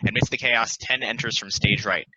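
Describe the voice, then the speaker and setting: A man's voice: lowish voice, fairly even intonation, moderate pace, sharp tone, slightly rough, no echo, dull audio. A man reading scripted stage directions as narrator in a play table read.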